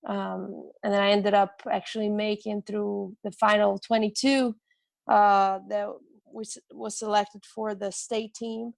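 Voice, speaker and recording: A woman's voice: medium pitch, level tone, measured pace, vocal fry, no echo. A woman with a Brazilian accent, a strength coach, telling her life story with frequent hesitations and restarts. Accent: Brazilian Portuguese. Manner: hesitant, many ums and restarts.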